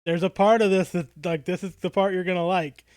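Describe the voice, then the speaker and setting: A man's voice: high-pitched, steady tone, a little fast, a little mellow, clean tone, no room sound. A man co-hosting a podcast in casual fan discussion, feeling his way toward words as he talks. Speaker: podcast co-host.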